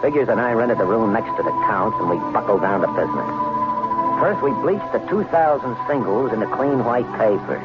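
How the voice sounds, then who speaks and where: An actor playing a bossy, greedy American swindler in an old-time radio drama, slangy and cocky. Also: male voice, fairly low pitch, lively intonation, a little fast, lightly acted. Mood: cocky narrating. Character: brash, bossy, greedy American swindler telling his story. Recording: muffled vintage radio, music underneath.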